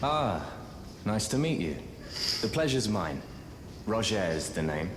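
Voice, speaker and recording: Genteel wry sorcerer, low voice, scholarly and chatty, fantasy game dialogue, echoey, music behind. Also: male voice, big pitch swings, takes it slowly, noisy background. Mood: affable. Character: courteous, wry fugitive male sorcerer in a fantasy castle. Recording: roomy echo with loud game music.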